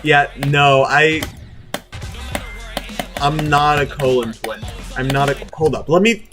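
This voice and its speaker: Male game streamer, lightly high pitch, off-the-cuff chat, self-deprecating and apologetic, background music. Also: takes it slowly. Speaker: livestreamer host. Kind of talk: casual gaming stream chat.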